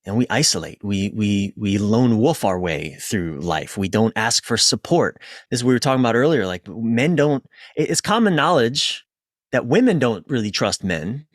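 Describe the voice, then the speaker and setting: A man's voice: fairly low pitch, big pitch swings, moderate pace, dry recording. Casual male coach in a dry-sounding interview. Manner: informal, self-interrupting, repeats phrases for emphasis. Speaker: relationship coach, interview guest.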